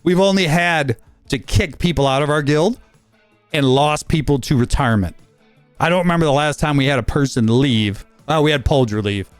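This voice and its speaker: A man in his fifties giving a rambling, casual update on his gaming channel, talking fast with frequent fillers and restarts. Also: on the high side, smooth, no echo, faint music behind. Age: 50s.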